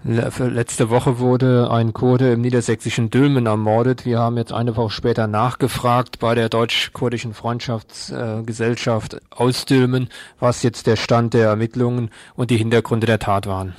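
Flat-toned man reading radio headlines. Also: medium pitch, normal speed, no room sound, studio quality. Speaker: radio co-presenter. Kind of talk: scripted radio news headlines.

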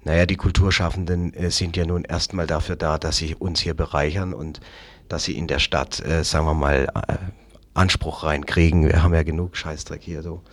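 A man speaking as a political guest in an unscripted discussion, haltingly searching for words and repeating phrases. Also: very deep voice, fairly even intonation. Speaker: interview guest, Green local politician.

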